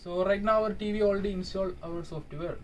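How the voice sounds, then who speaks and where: A young man on a ship's crew demonstrating hotel TV setup in a small cabin, speaking halting English with fillers. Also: sits high, fairly even intonation, recorded from a distance, rough recording. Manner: halting, explanatory, non-native English with fillers. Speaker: ship electrician demonstrating TV setup. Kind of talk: casual how-to demonstration. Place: small cruise ship cabin. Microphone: phone camera held by speaker.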